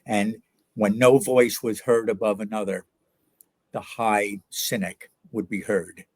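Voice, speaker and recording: Low-voiced older man, reflective and hesitant. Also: flat delivery, slow pace, darkish tone, no room sound. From New York. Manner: reflective, hesitant, many ums and uhs. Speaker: author, interview guest. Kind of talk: interview answers.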